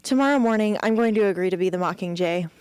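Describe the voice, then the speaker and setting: A woman's voice: mid-range voice, fast, a little mellow, smooth, no echo, close to an everyday voice. A woman playing a troubled, determined teenage girl rebel in an amateur radio drama. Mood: troubled, subdued.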